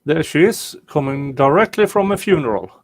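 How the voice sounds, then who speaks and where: A man's voice: a little high, animated, dry recording. A man with a rural Swedish accent co-hosting casual commentary on trial footage, speaking hesitantly and drily. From Sweden. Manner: slow, hesitant, dry remarks. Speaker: co-host reacting to trial footage.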